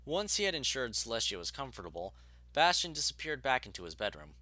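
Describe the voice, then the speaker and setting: Male storybook narrator, animated intonation, crisp forward tone, very dry sound. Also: medium pitch, fast talker, dry recording.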